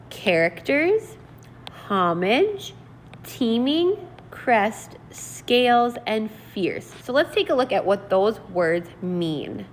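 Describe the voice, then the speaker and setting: Female teacher, read-aloud lesson, lively varied intonation, deliberate delivery, noticeable background noise. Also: mid-range voice. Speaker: teacher reading a story aloud to pupils. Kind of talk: read-aloud lesson, narrating and explaining vocabulary.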